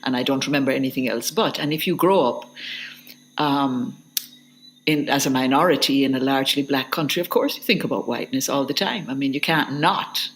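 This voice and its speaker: A woman's voice: rumbling low, fairly quick, low-quality recording. A middle-aged woman, a literature professor answering interview questions in a reflective, hesitant way. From Jamaica. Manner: thoughtful, hesitant, with restarts.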